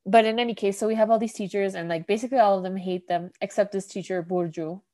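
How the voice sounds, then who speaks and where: A woman hosting a podcast and chatting through an episode recap. Her voice is warm and mellow, pitched mid-range, and she rambles loosely with constant "like" fillers. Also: steady tone, quick pace. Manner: rambling, heavy use of "like", laughs often. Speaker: podcast host.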